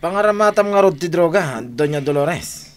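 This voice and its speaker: A man's voice: a little high, lively intonation, moderate pace, lightly acted. An actor playing a wary henchman, a man with an Ilocano accent and an insistent, questioning manner in a radio drama. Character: wary henchman questioning his boss and an older woman.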